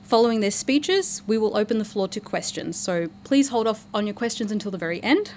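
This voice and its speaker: A woman's voice: mid-range voice, animated, fairly quick, darkish tone, slight background noise. Woman chairing a forum. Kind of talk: read speech and announcements. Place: lecture room at a public forum.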